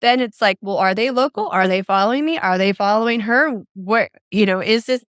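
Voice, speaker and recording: A woman's voice: mid-range voice, a little fast, fairly bright tone, clean tone, no echo, clean studio sound. A middle-aged woman telling her own story as an interview guest. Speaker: interview guest, mother recounting a scam. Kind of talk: recounting a personal experience in an interview.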